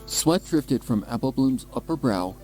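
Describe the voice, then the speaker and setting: Male story narrator, medium pitch, very animated intonation, dramatic scripted reading, music underneath. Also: a little slow, rough recording. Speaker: fan fiction narrator.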